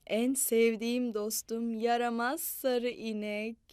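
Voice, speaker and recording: A woman's voice: on the high side, level tone, slow pace, darkish tone, clean tone, no echo, clean studio sound, soft background music. A woman voicing a cheerful entertainer who coaxes children in a playful, sing-song way and moos at them. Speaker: children's show storyteller. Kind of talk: animated dubbed children's narration.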